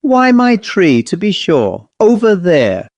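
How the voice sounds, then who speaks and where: A man's voice: high-pitched, huge pitch swings, slow, a little mellow, no echo, dull audio, voice pushed up, exaggerated voice. A man voicing an angry, bossy talking owl from a storybook, indignant and curt, with a colloquial working-class English accent. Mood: impatient, bossy. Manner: indignant, curt, dropping aitches. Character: angry, bossy, dishevelled wet old-fashioned talking owl. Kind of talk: storybook character voice.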